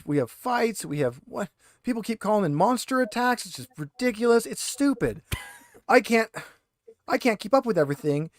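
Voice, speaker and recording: A man's voice: high voice, dry recording, studio quality, voice pushed up. A man voicing a frazzled, exasperated female receptionist in a tabletop game, flustered and complaining. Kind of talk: tabletop roleplay character voice.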